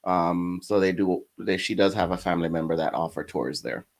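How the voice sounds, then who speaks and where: Jamaican-accented man, very low voice, easygoing interview guest. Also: steady tone, fairly quick. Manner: easygoing, laughs between answers. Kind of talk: casual interview chat.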